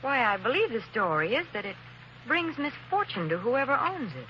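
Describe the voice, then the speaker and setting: A woman playing a spirited young woman with a lively, teasing manner in a 1940s radio drama. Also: on the high side, normal speed. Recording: dull, hissy old radio recording.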